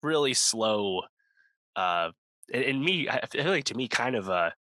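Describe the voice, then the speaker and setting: A man's voice: medium pitch, animated, measured pace, bright, forceful tone, no echo. A man co-hosting a music review podcast, talking casually and rambling with lots of fillers and restarts. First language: English speaker, not Korean. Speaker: podcast co-host.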